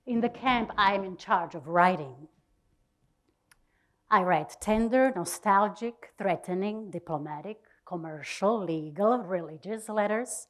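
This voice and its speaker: Female reader for a literary diary, very unhurried, medium pitch, expressive delivery with deliberate pauses. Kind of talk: dramatic literary reading.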